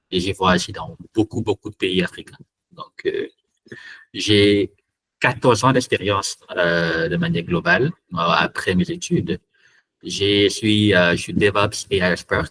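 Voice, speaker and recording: A man's voice: sits low, pushed and bright. A man from Cameroon hosting a live online tech meetup, talking hesitantly with many fillers and restarts. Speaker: meetup host and trainer.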